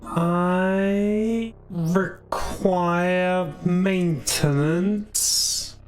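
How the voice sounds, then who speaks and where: An ailing robot woman , put on by a male actor speaks in a high, strange voice. Her words come out halting and stretched, as a failing machine in an audio drama, over music. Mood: confused. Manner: halting, drawn-out delivery. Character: ailing, rude female robot struggling to speak.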